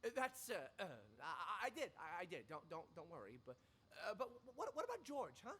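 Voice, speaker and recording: Jumpy blustering henchman, slangy, stammering, extremely swooping pitch, forceful bright tone, radio drama. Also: huge pitch swings, a little fast, a little hiss or hum. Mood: nervous, stammering. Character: jittery, crude, skeptical henchman mental patient. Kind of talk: interactive radio drama acting.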